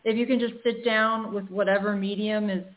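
A woman medium giving intuitive readings to callers, hesitant with many pauses and restarts. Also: mid-range voice, steady tone. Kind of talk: intuitive reading, casual and halting. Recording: phone-like radio line.

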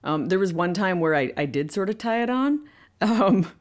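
A woman voice actor telling a story in an interview, animated and loose, with plenty of "like" and "um" fillers. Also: medium pitch, moderate pace. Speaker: voice actor guest. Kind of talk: anecdotal interview chat.

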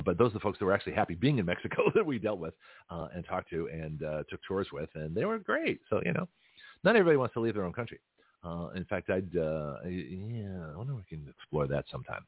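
Low husky man on a tinny phone line. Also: no echo. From Canada. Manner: rambling, with frequent restarts and chuckles. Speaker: radio show host. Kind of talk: casual political talk radio monologue.

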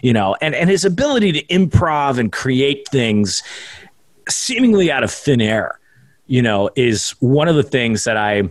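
A man's voice: mid-range voice, big pitch swings, a little slow, no echo. Young man, radio broadcaster, chatty guest, jokey self-deprecating asides, dry close-miked sound. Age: young adult. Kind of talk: casual podcast interview chat.

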